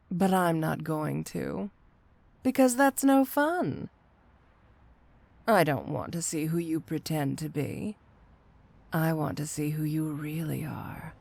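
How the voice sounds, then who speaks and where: A woman's voice: on the low side, vocal fry, darkish tone, exaggerated voice. A woman playing a flirty, teasing supervillain cat burglar in an ASMR roleplay audio drama, with a coy, seductive delivery. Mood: sly, flirtatious. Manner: coy, teasing, drawn-out delivery with rhetorical tag questions.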